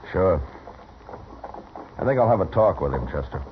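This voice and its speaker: An actor playing a stern, weary American frontier marshal in a 1950s radio Western, with a curt, dry, blunt delivery. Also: male voice, low-pitched, expressive, moderate pace. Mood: terse, wary. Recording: dull, noisy vintage radio recording.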